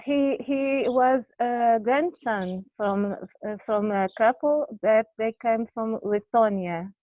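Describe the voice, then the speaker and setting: Woman guest, halting, restarts, dry tinny call audio. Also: a little high, no echo. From Brazil. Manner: halting, searching for words, frequent restarts. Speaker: interview guest. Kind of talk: candid personal conversation.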